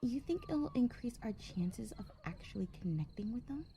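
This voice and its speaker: A young cartoon-style girl pony, acted by a woman, playful and musing. The voice is fairly high and mellow, with faint noise behind it in this audio story. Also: very animated, normal speed. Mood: musing, unsure. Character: sweet-toothed young female pony out trick-or-treating.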